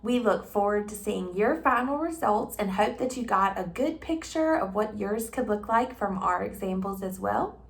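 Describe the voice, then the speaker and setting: A woman presenting in an instructive, expressive way, as for a tutorial or a storybook reading. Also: mid-range voice, normal speed, gravelly. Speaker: 4-H agent, presenter. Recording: roomy echo, distant microphone.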